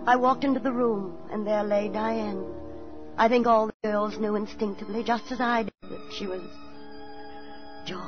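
A woman's voice: mid-range voice, steady tone, moderate pace, lightly acted. An actress playing a kindly older former stage star in dramatic 1940s radio style, motherly and theatrical. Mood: grave narration. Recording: dull 1940s radio recording with music.

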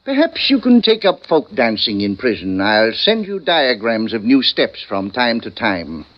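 An actor playing a theatrical, wry older sidekick in a 1940s radio drama, with florid, droll delivery on a vintage recording. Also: male voice, mid-range voice, wildly swooping pitch, unhurried, dull audio.

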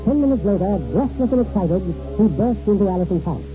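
A man's voice: high-pitched, expressive, brisk. Old time radio announcer guy, scripted narration, dull recording, bit of music behind. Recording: muffled 1940s radio recording, music underneath.

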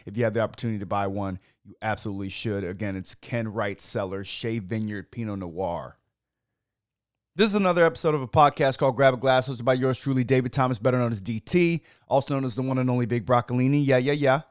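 Hoarse, chatty young man on a podcast. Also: mid-range voice, quick pace, dry recording, clean studio sound. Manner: loose and chatty, many "like" fillers, swears freely. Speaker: podcast host. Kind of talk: casual wine-tasting podcast chat.

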